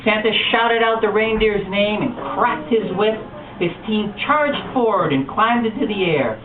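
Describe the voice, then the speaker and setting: A man reading a picture book aloud at a bookstore storytime, telling it with expressive animation. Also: high voice, unhurried. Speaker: storytime reader. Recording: distant echoey lo-fi room recording with noise.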